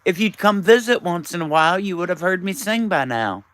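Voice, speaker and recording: Man streamer, raspy, bright lively voice, teasing banter, dry close sound. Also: high-pitched, brisk, dry recording. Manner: teasing, chatty, reads out and answers viewers. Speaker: live streamer. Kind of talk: casual live-stream chat with viewers.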